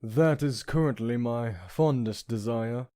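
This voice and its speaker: Charming rogue prince for a comic dub, played by a man: courtly, mellow, mid-pitched, self-assured, close dry sound. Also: a little slow, dry recording, natural voice. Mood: suave. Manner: smooth-talking, genteel, self-assured. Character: suave, flirtatious, penniless prince with courtly charm.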